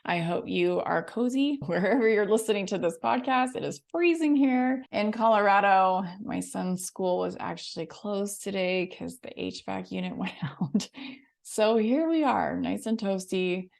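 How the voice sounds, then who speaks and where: A woman's voice: mid-range voice, a little slow, gravelly, a little mellow. A middle-aged American woman hosting a chatty solo podcast, friendly and informal, with a scratchy, froggy voice from laryngitis. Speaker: podcast host and coach.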